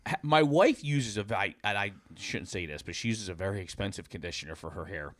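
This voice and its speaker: A man's voice: on the low side, lively intonation, a little fast, fairly bright tone, no room sound. A middle-aged man hosting a podcast in casual, candid conversation with some offhand swearing. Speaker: podcast host. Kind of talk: casual chat.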